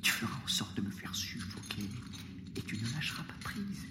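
An actor playing a taunting, death-obsessed prisoner of war in a Roman dungeon, insidious and insistent, in an audio drama. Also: male voice, lowish voice, sing-song, echoey room, heavy background noise. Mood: hushed, menacing. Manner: insidious, insistent, halting with repeated phrases. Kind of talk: audio fiction acting.

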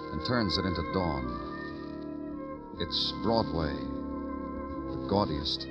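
A very low male voice as a stern, hardboiled big-city cop in a vintage radio crime drama. He is grave and world-weary, with dramatic, expressive rises and falls and music in the recording. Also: exaggerated voice. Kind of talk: 1940s-50s radio noir drama acting and narration.

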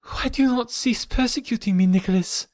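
A man's voice: very high voice, fairly quick, a little mellow, no echo, pitched above the performer's own voice. A man reading aloud, voicing a proud and defiant young woman who speaks coldly and without fear. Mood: pleading. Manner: cold and fearless. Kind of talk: audiobook reading.

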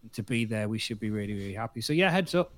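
A man on a football podcast panel in a studio, talking casually and building his points in a measured, repetitive way. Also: fairly low pitch, expressive.

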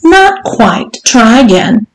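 A woman's voice: medium pitch, lively intonation, a little slow, soft background music, natural voice. A woman voicing a stern, domineering mistress in an audiobook, commanding, condescending and taunting. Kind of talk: audiobook character voice. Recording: roomy echo.

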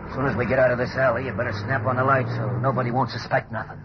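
Male actor for nervous working-class crook, ungrammatical slang, 1940s radio serial, dull band under loud noise. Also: mid-range voice, fairly even intonation, very fast, gravelly, lightly acted. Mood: uneasy. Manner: slangy, ungrammatical tough talk. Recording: muffled, very noisy old radio recording.

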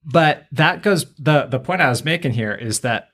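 A man's voice: mid-range voice, expressive, moderate pace, a bit bright. A man co-hosting a podcast in casual pop-culture commentary, with wry, colorful exaggeration. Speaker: podcast co-host.

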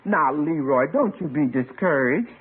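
An actress in an old-time radio comedy playing a loyal, playful household woman with a husky voice and folksy, deferential manner. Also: low voice, lively intonation, moderate pace. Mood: consoling. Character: loyal, cajoling household woman, cheerfully encouraging. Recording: muffled vintage radio recording.

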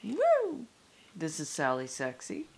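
A woman's voice: sits low, huge pitch swings, slow, vocal fry, darkish tone. A woman co-hosting a casual podcast, giggly and playful as she chats. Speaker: podcast co-host. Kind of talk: casual chat.

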